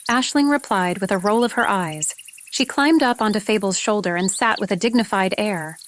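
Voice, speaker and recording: A woman's voice: medium pitch, normal speed. A woman narrating a fantasy story from a script, as an audiobook reader. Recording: noticeable background noise with clicks, insect-like sounds.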